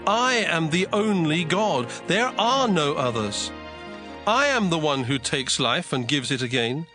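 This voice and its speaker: A wrathful almighty God acted by a man declaims judgement in a dramatized Bible. The voice is slightly high, solemn and commanding, with music clearly behind it. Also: very animated, measured pace. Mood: stern, vengeful.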